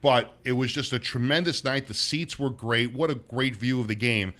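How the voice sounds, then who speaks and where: Middle-aged guy w a raspy voice hosting sports radio, rambles a lot, kinda low energy. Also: fairly even intonation, normal speed, fairly bright tone. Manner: rambling, many restarts and fillers. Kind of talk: casual sports talk show chat.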